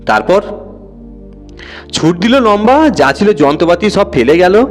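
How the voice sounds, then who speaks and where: Man acting a blustering, self-important householder, raised pitch. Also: sing-song, measured pace, soft background music. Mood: excited bragging. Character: boastful, excitable householder playing victim and hero.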